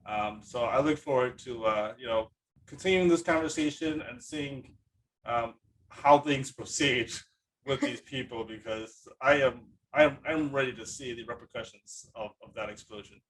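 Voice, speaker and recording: A man's voice: mid-range voice, moderate pace, fairly bright tone, distant mic, rough recording. A man hosting a podcast in a casual, rambling conversational way, often repeating his phrases. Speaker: podcast host. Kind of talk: casual conversational interview talk.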